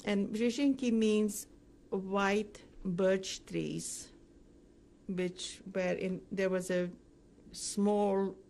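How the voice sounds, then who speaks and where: Older lady telling her memories for an oral history, slow n halting, creaky voice, bit of background noise. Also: mid-range voice, fairly even intonation, mellow. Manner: halting, pausing often mid-sentence. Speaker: Holocaust survivor giving testimony. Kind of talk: recorded oral history interview, recounting memories.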